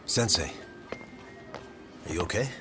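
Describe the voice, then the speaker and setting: Wry detective, lively pitch, music behind. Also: male voice, a little slow. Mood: probing. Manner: casual, tough, sardonic. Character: dogged street-smart male detective, guarded and wry. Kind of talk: English video game dub acting.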